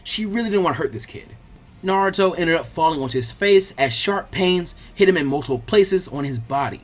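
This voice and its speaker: Audiobook narrator reading action story, dramatic, mid pitch voice, music behind. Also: animated, fairly quick. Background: background music.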